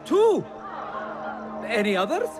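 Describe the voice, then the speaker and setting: An actor playing a flattering bazaar auctioneer in a video game, theatrical and teasing, with drawn-out flourishes. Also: male voice, extremely high pitch, exaggerated voice. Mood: excited, grandiose. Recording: music and crowd behind.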